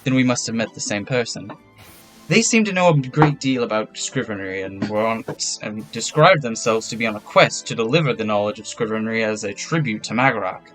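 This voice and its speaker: A man doing a calm, formal old priest. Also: on the low side, faint music behind, poor audio. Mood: courteous. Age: older. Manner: slow, formal, courteous.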